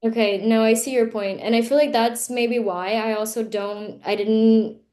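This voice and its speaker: A woman's voice: on the high side, fairly even intonation, normal speed, mellow. A Brazilian woman in her twenties whose first language is Portuguese, speaking English in a casual interview, frank and reflective, with many "like"s and "you know"s. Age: 20s. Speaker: interview guest.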